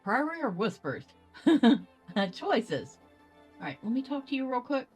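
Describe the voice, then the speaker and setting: A woman's voice: fairly high pitch, sing-song, a little slow, breathy edge, soft background music. A woman giving casual game commentary, chatty and playful as she thinks aloud. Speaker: gaming video host.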